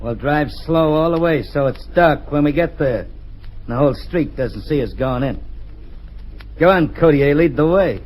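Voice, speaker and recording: A man's voice: on the high side, expressive, measured pace, darkish tone, rough recording. A man playing a cold, commanding, ruthless gang boss in an old-time radio drama, clipped and bossy.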